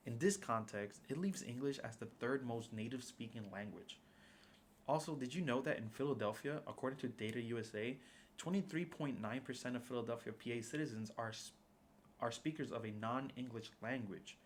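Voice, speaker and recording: A man's voice: medium pitch, slight background noise. A young man, a native Spanish speaker, delivering a prepared persuasive speech for a class in a formal, read-aloud manner. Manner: formal, reading prepared remarks.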